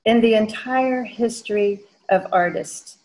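Slow-spoken older woman with a Georgia drawl. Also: medium pitch, a little mellow, low-quality recording. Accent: Southern American, Georgia. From Georgia, USA. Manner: slow, measured, with frequent "um" pauses. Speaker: artist giving a lecture. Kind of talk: online lecture. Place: home studio wall hung with paintings, indoors. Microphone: video call webcam.

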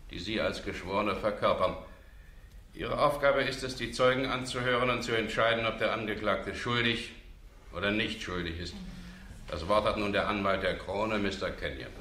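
Stern judge for radio drama: sharp, forceful, formal, roomy echo. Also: male voice, on the low side. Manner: formal, declamatory. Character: stern, formal youngish big male judge. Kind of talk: radio drama courtroom address. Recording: roomy, echoing courtroom sound.

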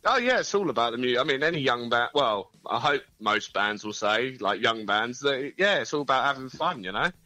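A man's voice: medium pitch, expressive, a little fast, few pauses, sharp tone, no echo. A British man, a band member guest on a radio show, talking in an easygoing, laid-back way and repeating phrases. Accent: British English. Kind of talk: casual radio interview.

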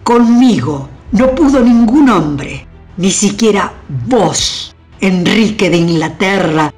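A woman's voice: medium pitch, expressive, slow pace, a little mellow. An actress playing a proud, domineering older queen in a historical radio drama, with a theatrical, scornful delivery. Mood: taunting, proud. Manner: theatrical, emphatic repetitions, haughty. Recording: music under the voice.

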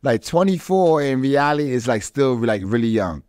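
A man's voice: mid-range voice, expressive, moderate pace, darkish tone, smooth, no echo. A man hosting a casual podcast chat, telling things in street slang, close on a studio microphone. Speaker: podcast host. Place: small studio with foam panels and curtains.

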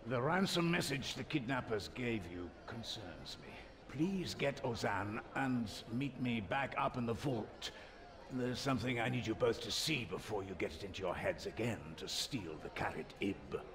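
Guy playing a guarded formal spymaster in a game, careful wording, pitch moves a lot, bit of room echo. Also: animated, a crowd in the background, close to an everyday voice. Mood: concerned. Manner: formal, deliberate, measured diction. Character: crafty, stern royal spymaster, adult man, guarded and formal. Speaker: spymaster character. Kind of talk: video game voice acting.

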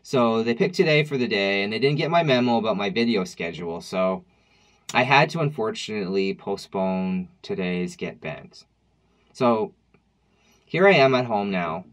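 A man's voice: lowish voice, animated, normal speed. A man in his thirties talking to camera at home in a personal vlog, reflective and wry, with loose conversational restarts. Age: 30s. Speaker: vlogger. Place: dim room at home, indoors.

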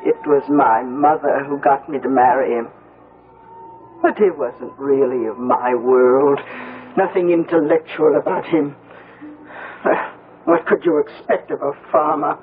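A woman's voice: a bass voice, a little slow. An actress playing a bitter, self-pitying middle-aged mother who laments in a declamatory radio drama manner. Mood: scornful. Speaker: supporting actress. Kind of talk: 1970s radio drama acting. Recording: muffled phone-like old radio recording, music.

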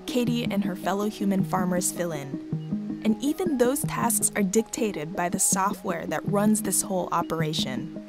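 Woman narrating a news feature. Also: mid-range voice. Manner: measured, clear voice-over delivery. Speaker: reporter and host. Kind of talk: scripted documentary narration. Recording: voice-over with background music.

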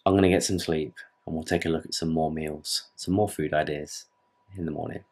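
A man's voice: rumbling low, fairly even intonation, fairly quick, darkish tone. A young British man in his twenties, rambling earnestly to his camera at night in his bedroom, swearing casually. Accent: British English. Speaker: fitness vlogger. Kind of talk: casual vlog talk to camera.

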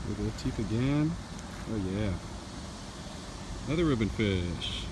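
A man narrating a casual fishing vlog outdoors, speaking off the cuff in a halting way. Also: lowish voice, lively intonation. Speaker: angler vlogger. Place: outdoors at night on a waterside concrete edge. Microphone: handheld camera or phone, voice off camera. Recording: distant voice, loud outdoor ambient noise.

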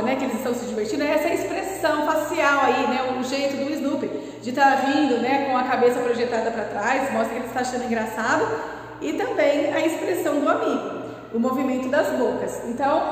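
A woman in her thirties with a Brazilian Portuguese accent, a primary school teacher correcting workbook exercises, patiently explaining and asking the class questions. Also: sits high. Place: classroom in front of a whiteboard, indoors. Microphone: far camera. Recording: roomy classroom echo, from a distance.

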